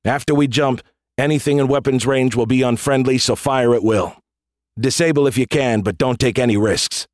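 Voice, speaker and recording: An irreverent space commander as read by a man in an audiobook. His talk is casual, sarcastic and teasing, at a medium pitch, recorded dry. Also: moderate pace, darkish tone, no room sound, close to an everyday voice. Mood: commanding. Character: wisecracking, irreverent male military commander of space pirates. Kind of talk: audiobook character dialogue.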